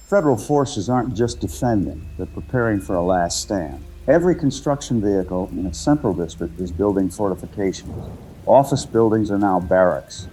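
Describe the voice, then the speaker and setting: Male actor as trucker eyewitness, lowish dark voice, measured, matter-of-fact, radio drama caller. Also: a little hiss or hum, close to an everyday voice. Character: shaken but observant male trucker escaped from a war zone. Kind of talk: scripted eyewitness account, audio drama.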